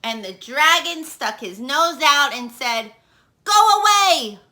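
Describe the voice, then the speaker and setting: Lady reading a kids book out loud, slow n expressive, really bright voice, asks the kids stuff. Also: sits high, slight background noise. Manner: expressive, asks the young listeners questions. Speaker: storyteller. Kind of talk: animated children's read-aloud.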